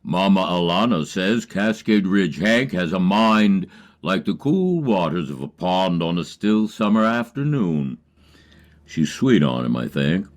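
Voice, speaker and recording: A man playing a laconic, easygoing, dry-humored backwoods survivor in an audio drama, speaking tersely and deadpan. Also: low voice, unhurried. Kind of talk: amateur audio drama acting.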